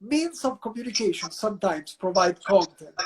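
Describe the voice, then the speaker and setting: A man's voice: high-pitched, big pitch swings, normal speed, rough recording. Italian prof guy talking at length, restarts a lot, bit of hiss behind. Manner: lecturing, discursive with restarts. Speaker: university professor, guest. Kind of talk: guest answering in an interview.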